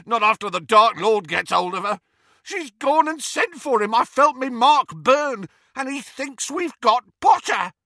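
A man voicing a brutish, hot-tempered, cowardly villain wizard who bellows in rough, ungrammatical speech, in an audiobook. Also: medium pitch, sing-song, unhurried, bright, forceful tone, no echo, studio quality, voice pushed up, full character voice. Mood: panicked rage. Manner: bellowing, uneducated non-standard grammar.

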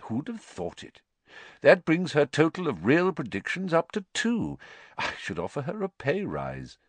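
A man voicing a quiet, wise old headmaster. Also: a little high, wildly swooping pitch, no room sound, pitched above the performer's own voice, exaggerated voice. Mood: thoughtful, wry. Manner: quiet, measured, soft-spoken, reflective. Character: wise, gentle elderly male wizard headmaster. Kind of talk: audiobook character voice.

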